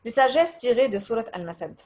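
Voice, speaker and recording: A woman from Tunisia whose first language is Arabic, giving a religious lesson in French, hesitating often with fillers, reading translations and reciting verses quickly. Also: fairly high pitch, animated, a little mellow. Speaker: religious teacher. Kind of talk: religious lesson over voice messages.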